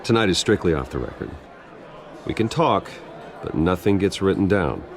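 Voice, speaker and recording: A composed, wry young billionaire in a game drama. His very deep, creaky voice moves expressively while he stays polite and guarded. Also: animated, moderate pace. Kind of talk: dramatic video game dialogue.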